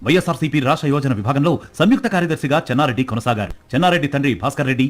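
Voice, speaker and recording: Very fast male news narrator. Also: medium pitch.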